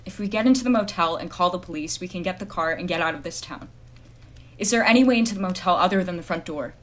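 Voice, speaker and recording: A woman playing a blunt, wary female private detective in an audio drama, measured and commanding in her delivery. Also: on the low side, close to an everyday voice. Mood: grim, firm. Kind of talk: audio drama acting with noir narration.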